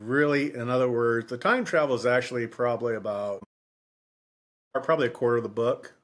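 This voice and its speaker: A man's voice: medium pitch, brisk, a bit bright. A man co-hosting a casual book discussion, talking in a rambling way that often repeats and restarts phrases. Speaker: podcast co-host.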